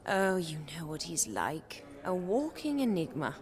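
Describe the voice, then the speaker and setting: An actress playing a gracious, wistful older countess in a video game, refined and measured in manner. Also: female voice, fairly low pitch, very animated, over music. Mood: gracious, coaxing.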